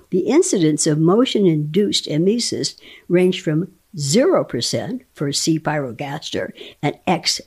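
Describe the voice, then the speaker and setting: A woman reading a scientific paper aloud as a guest dramatic reader, enunciating technical terms deliberately. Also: deep voice, darkish tone, slightly rough.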